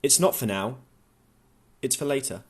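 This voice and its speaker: Audiobook role: charming, evasive young man with an irritable edge male narrator acting, lively pitch. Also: moderate pace, slightly rough. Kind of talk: audiobook character dialogue.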